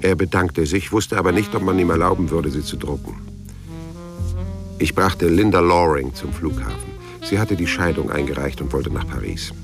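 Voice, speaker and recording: A man's voice: low voice, lively intonation, normal speed, gravelly, over music. An actor playing a weary, cynical private detective who tells his own case dryly and laconically in a radio play. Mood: weary, bitter. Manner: dry, laconic. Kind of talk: radio play narration and dialogue.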